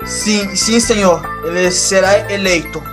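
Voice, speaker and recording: An actor playing a resentful young male hacker in an audio drama, halting at times. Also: high voice, music in the background. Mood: nervous, cowed. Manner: halting, repeats words when pressed.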